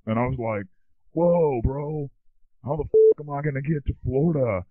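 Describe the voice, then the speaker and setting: A man playing a dim, excitable young slacker who rambles through slangy stories in a comic monologue. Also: mid-range voice, moderate pace, no room sound, lightly acted. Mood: baffled, excited. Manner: slangy, rambling surfer-dude talk. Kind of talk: comic monologue, casual storytelling. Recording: thin phone-like lo-fi sound.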